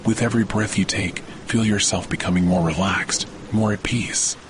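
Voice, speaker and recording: A man reading a scripted guided sleep meditation as a relaxation podcast host, in a soothing, measured delivery. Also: on the low side, fairly even intonation, noisy background. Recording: loud rain and thunder sound bed. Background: rain on leaves, soft thunder.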